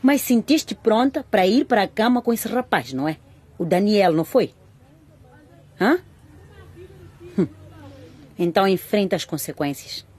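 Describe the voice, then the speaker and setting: Stern, husky, animated mother. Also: mid-range voice, measured pace, long pauses. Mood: indignant, scolding. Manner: scolding, emphatic. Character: stern, worried, insistent mother of a teenage girl. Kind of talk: radio drama acting.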